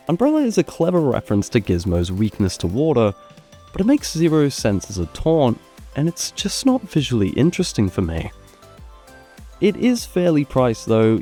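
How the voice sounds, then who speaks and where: A man narrating a scripted video game ranking in a measured, opinionated way. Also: fairly low pitch, warm tone. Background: background music.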